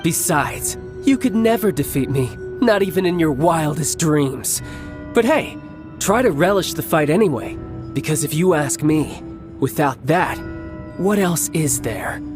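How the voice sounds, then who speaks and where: An actor playing a cocky young villain warrior in a video game, theatrical and playfully menacing, relishing battle with taunts. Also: male voice, on the high side, animated, unhurried, over music. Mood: amused challenge. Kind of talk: English video game voice acting.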